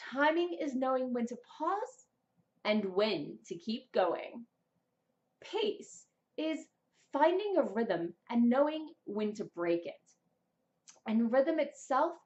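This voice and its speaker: A young adult woman presenting a scripted vlog of writing tips in an expressive, deliberate public-speaking manner. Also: high voice, highs cut off. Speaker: vlogger, writer and amateur voice actor. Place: small plain indoor room, seated.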